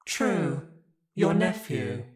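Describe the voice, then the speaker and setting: A man's voice: a little high, animated, a loud crowd. Man as an echoing, otherworldly Christmas spirit. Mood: solemn. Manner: deliberate, questioning. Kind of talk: audio drama acting. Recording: echoing voice under loud music.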